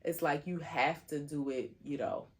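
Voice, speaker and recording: A woman's voice: low voice, fairly even intonation, moderate pace, crowd noise. A woman co-hosting a podcast, chatting in a supportive and teasing way. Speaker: podcast co-host. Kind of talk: casual chat.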